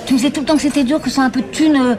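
A woman's voice: high voice, fairly even intonation, rapid-fire, music in the background, close to an everyday voice. An actress playing a weary young streetwalker devoted to her boyfriend in a radio play, speaking plain street slang. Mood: defensive. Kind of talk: naturalistic French radio drama acting. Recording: loud crowd chatter behind.

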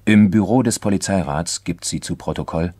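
A man narrating a crime documentary drama from a script in a formal, measured manner. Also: sits low, dark tone, slightly rough, no echo.

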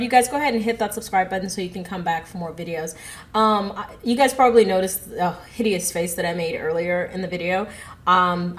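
A woman in her thirties hosting a reaction video, chatting casually to viewers with a stuffy, congested nose, on an earbud mic in her living room. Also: lowish voice. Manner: chatty, giggly, addresses viewers directly. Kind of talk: casual chat to camera. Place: living room at home, indoors. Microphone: earbud headset mic.

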